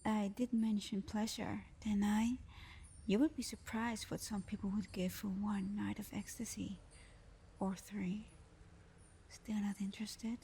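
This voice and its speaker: A mischievous, ancient female genie acted by a woman speaks in a soft, mellow voice at an unhurried pace. She is flirty, sly and teasing, playing directly to the listener in an ASMR roleplay. Noticeable background noise sits under the voice. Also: medium pitch. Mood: seductive, teasing. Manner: teasing, seductive, slow and playful, often dropping to whispers.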